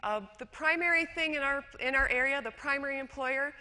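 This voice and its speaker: Bright-voiced woman in reverberant hall. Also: high voice, fairly quick. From rural western New York, USA. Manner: chatty, self-deprecating, with fillers and restarts. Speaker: conference speaker, educator. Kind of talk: conference talk with slides. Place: lecture hall.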